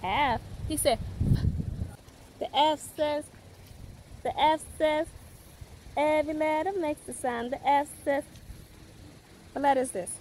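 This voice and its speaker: A woman's voice: high-pitched, slow pace, pauses often, a little mellow, low-quality recording. A young woman, a mother talking casually and teaching her toddler with sing-song prompts, recorded outdoors in a park. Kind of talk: casual vlog and simple lesson to a small child. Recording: outdoor recording with background noise. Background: outdoor ambient noise.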